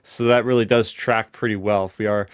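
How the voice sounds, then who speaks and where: Male co-host, smooth clear mid-range voice, chatty and informal, dry studio-close sound. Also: on the low side, fairly even intonation, a little fast, no echo. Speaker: podcast co-host. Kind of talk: casual fan podcast chat.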